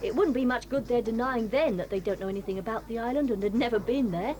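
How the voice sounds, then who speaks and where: A woman's voice: on the high side, fast talker, darkish tone, close to an everyday voice. An actress playing a polite, earnest eldest boy in an audio drama.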